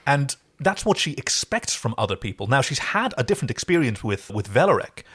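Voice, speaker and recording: A man's voice: medium pitch, sing-song, fairly quick, fairly bright tone, husky. A man with a mid-range voice co-hosts a casual podcast about television. He talks in an earnest, analytical manner, as in an informal discussion. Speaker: podcast co-host.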